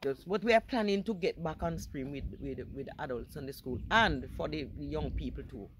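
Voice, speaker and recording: A woman's voice: deep voice, normal speed, noisy background. An older woman with a Jamaican accent and a slight lisp, a retired school principal chatting as an interview guest in a bubbly, vivacious way. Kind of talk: casual interview chat.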